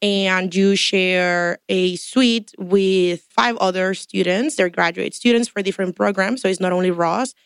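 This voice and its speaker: A woman's voice: mid-range voice, a little slow, few pauses, clean tone, no echo. A young woman from Mexico, chatting on a podcast as a guest in a chatty, detailed, upbeat way. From Tampico, Mexico. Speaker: guest, MBA student. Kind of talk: casual podcast chat.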